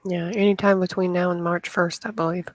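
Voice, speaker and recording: A woman's voice: on the low side, level tone, brisk, dry recording. A woman co-hosting a casual online chat, chatty and playful. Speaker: co-host.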